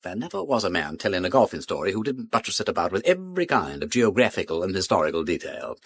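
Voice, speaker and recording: An urbane gentleman detective with an upper-class English accent in a radio drama. His pitch swings widely as he needles people with breezy, teasing wit. The sound is close and dry. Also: sing-song, fast talker, slightly rough, no room sound, full character voice. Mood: teasing, probing. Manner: teasing, breezy, with drawled asides.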